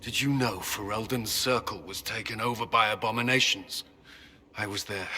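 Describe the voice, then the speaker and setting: An actor playing a haunted, proud soldier in a video game, speaking with halting, formal intensity. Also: male voice, on the low side, steady tone, bright, forceful tone, a little hiss or hum. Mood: haunted, anguished. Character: haunted, proud soldier man struggling with his vows. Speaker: former Templar commander. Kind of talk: dramatic video game dialogue.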